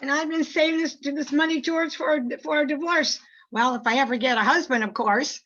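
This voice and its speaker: A woman's voice: high-pitched, fairly even intonation, brisk, a bit bright. A woman playing a wry unmarried woman in an amateur radio play, recorded over a video call. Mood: wry, generous. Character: wry unmarried woman giving up her savings. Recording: muffled video-call audio.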